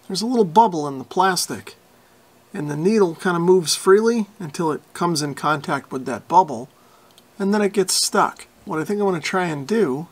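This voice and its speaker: A man with a fairly high voice and plenty of vocal fry narrates a hands-on repair tutorial. His delivery is informal and folksy, with a self-deprecating streak. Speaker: radio repair hobbyist and video host.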